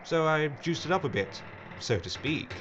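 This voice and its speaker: A man's voice: on the high side, animated, moderate pace, fairly bright tone. Villain: smug greedy madman played by a man, drawling and theatrical, slightly muffled with noise behind. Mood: gloating. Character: gleeful mad villain, smug greedy schemer. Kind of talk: audio drama acting.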